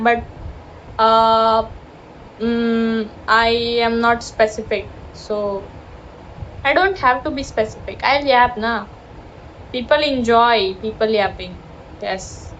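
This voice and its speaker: A woman's voice: on the high side, fairly bright tone. A young woman from South Gujarat with a Gujarati-influenced Indian English accent, chatting in a casual, rambling vlog with many fillers and apologies. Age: young adult. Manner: rambling, self-deprecating, apologetic, frequent fillers. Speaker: vlogger. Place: outdoors near busy traffic with honking. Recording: dull lo-fi phone audio with faint street noise.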